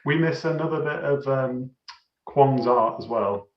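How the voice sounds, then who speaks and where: A man's voice: mid-range voice, measured pace. Man chatting in an echoey room. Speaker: podcast co-host. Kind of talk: casual film discussion. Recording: slightly dull, echoey room.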